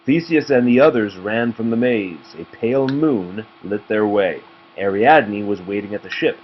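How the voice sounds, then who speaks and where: A man with a fairly low voice narrates a children's story, as a parent reads to a child. The recording is muffled, with clear background noise. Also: lively intonation, normal speed. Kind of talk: storybook read-aloud narration.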